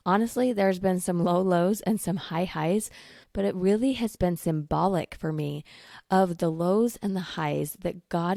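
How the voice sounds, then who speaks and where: A woman's voice: on the low side, gravelly, no echo, clean studio sound. A woman hosting a faith-based coaching podcast, her voice still recovering from lost voice, speaking earnestly and encouragingly. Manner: earnest, warm encouragement with soft laughs. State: voice recovering from laryngitis. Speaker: podcast host, life coach.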